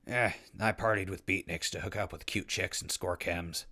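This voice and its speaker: A man acts out an irreverent ghoul wanderer in an audio drama. His voice is fairly low and full of gravel. He talks casually, with dry, sardonic ease. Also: fairly even intonation, moderate pace, bright, forceful tone, full character voice. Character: wry, easygoing, irreverent ghoul wanderer narrating his journey. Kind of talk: post-apocalyptic audio drama acting.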